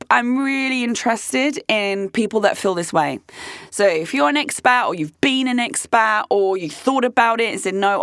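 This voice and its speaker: A woman in her late thirties hosting her own podcast, talking candidly and emphatically in a rambling way, her voice hoarse. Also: mid-range voice, fairly bright tone, dry recording, studio quality. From United Kingdom. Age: late 30s. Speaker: podcast host. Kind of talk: casual monologue. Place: podcast studio.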